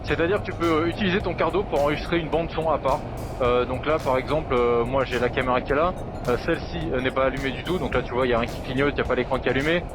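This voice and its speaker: A man's voice: mid-range voice, very fast, music in the background. A man giving a casual, slangy, chatty gear review while riding a motorcycle, speaking into a helmet intercom microphone. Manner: informal, slangy, chatty, occasional swearing. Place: outdoors, riding a motorcycle in traffic. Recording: road and vehicle noise behind voice.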